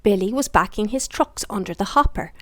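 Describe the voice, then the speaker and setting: A woman reading a children's bedtime story aloud in an expressive storytelling style. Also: mid-range voice, brisk, dry recording.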